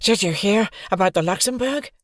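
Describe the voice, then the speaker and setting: A narrator voicing a nervous young boy with a squeaky, trembling voice. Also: lively intonation, normal speed, dry recording, clean studio sound, lightly acted. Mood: agitated. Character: nervous boy Scooter, easily panicked. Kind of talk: audiobook character voice.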